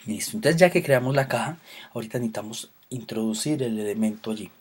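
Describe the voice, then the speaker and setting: Husky-voiced man teaching a programming class. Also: on the low side, quick pace. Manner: patient explanation with fillers and self-corrections. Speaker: programming instructor. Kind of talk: recorded lecture.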